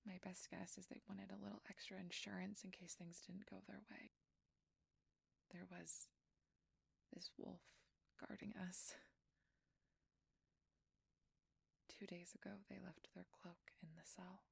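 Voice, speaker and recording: A woman playing a frightened, guilt-ridden changeling fugitive in an intimate fantasy audio roleplay, with a halting, emotional delivery. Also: on the low side, flat delivery, creaky, husky, no room sound, exaggerated voice. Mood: shaken, hushed.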